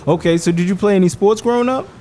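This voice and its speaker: High-voiced upbeat male podcast host. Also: fast, warm tone. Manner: upbeat, hypes the guest, frequent affirmations. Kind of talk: casual interview chat.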